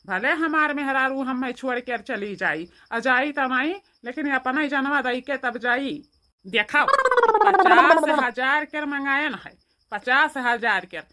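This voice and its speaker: A woman's voice: high voice, pushed and bright, exaggerated voice. A woman voicing an angry, threatening village man in a cartoon, with an Awadhi accent and a hectoring, repetitive delivery. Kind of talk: amateur cartoon voice acting.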